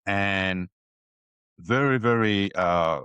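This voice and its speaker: A man's voice: on the low side, extremely slow, fairly bright tone, clear, resonant tone, no room sound. A man from Ivory Coast with an Ivorian French accent, a native French speaker, answering interview questions hesitantly with many fillers. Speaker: podcast guest. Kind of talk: casual interview talk.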